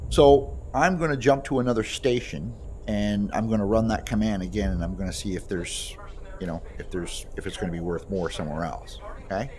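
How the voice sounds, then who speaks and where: Low-voiced man demonstrating a game. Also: fairly quick, a little mellow. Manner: informal, explanatory, issues short voice commands. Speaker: game video presenter. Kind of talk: casual gameplay demonstration commentary.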